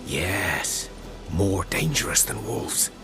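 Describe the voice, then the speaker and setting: A man's voice: low-pitched, expressive, slow pace, music in the background. A man playing a wary, bitter village hunter in a video game, with a folksy, plain-spoken manner.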